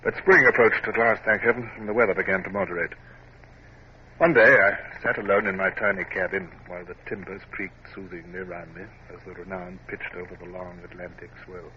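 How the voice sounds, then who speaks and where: Naval officer memoirist male actor, low register, formal, literary and reflective, dull noisy old radio-drama sound. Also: steady tone, brisk, close to an everyday voice. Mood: brooding, reflective. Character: reflective, scrupulous young male Royal Navy officer narrating memoirs. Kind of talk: dramatic first-person radio drama narration.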